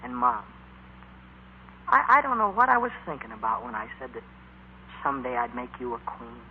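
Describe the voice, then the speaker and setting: A man's voice: medium pitch, animated, normal speed, natural voice. A young American man playing an eager, earnest teenage bandleader, stammering and breathless, in 1940s radio acting style. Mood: grateful, moved. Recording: muffled, hissy 1940s radio recording.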